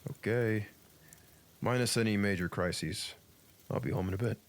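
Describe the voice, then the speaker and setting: A man's voice: fairly low pitch, normal speed, close to an everyday voice. A man playing a reserved, pensive father in a comic dub, hesitant and understated.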